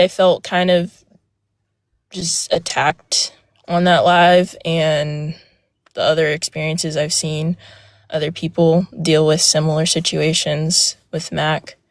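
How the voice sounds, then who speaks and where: A young woman with a soft, fairly low voice recounts her experience in a hesitant, filler-heavy way. The sound is dry and close, with no room around it. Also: no room sound. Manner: hesitant, many fillers and restarts. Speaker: guest speaker on a live panel. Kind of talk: casual personal account. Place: outdoors near a road.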